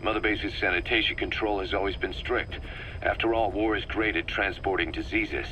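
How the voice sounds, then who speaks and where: A man playing an analytical, wary military second-in-command in a video game, explaining things in a measured, methodical way. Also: sits low, fairly even intonation, sharp tone, a little hiss or hum, rough recording. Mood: grave, concerned. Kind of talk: scripted video game cutscene dialogue.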